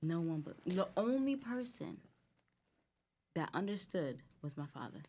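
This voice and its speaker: Low-voiced woman with a Guyanese accent. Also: big pitch swings, a little slow, dark tone, slight background noise. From United States, Guyanese family. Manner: chatty, rambling, candid. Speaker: guest entrepreneur. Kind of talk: casual chat over cocktails.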